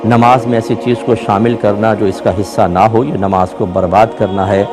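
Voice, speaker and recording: A man's voice: lowish voice, steady tone. Man giving a religious lecture over music. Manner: explanatory, measured, point by point. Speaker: Islamic scholar answering religious questions. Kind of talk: religious question-and-answer lecture.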